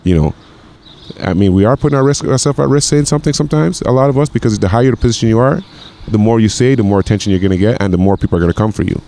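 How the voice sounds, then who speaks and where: A man's voice: on the low side, very fast, creaky, mellow. A man in his forties from Grenada, a chef guest talking casually, with lots of repeated words and fillers. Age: 40s. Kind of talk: casual conversation.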